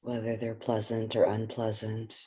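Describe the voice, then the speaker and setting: Slow, breathy meditation guide. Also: mid-range voice, completely flat delivery. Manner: soothing, gentle, unhurried with pauses.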